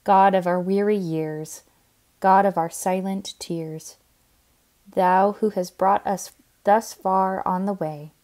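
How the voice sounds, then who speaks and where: A woman reads verse aloud at a slow pace. Her delivery is solemn and deliberate, with measured phrasing and a medium-pitched voice. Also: steady tone, a little mellow. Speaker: poetry reader. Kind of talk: solemn poetry recitation.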